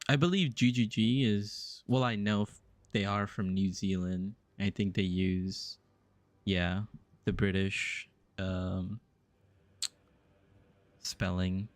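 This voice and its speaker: Slow-talking, low-voiced male game streamer. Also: no room sound. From US East Coast. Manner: musing aloud, hesitant, answering chat. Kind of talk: casual live-stream chat while playing.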